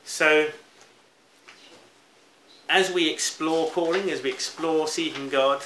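A man's voice: a little high, steady tone, takes it slowly, sharp tone. British male preacher, sermon delivery, reflective and emphatic, echoey room, faint background noise.

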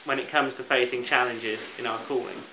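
A man's voice: mid-range voice, fairly even intonation, brisk. A British man preaching a sermon in an earnest, reflective manner, stressing key words as he goes. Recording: roomy echo, faint background noise.

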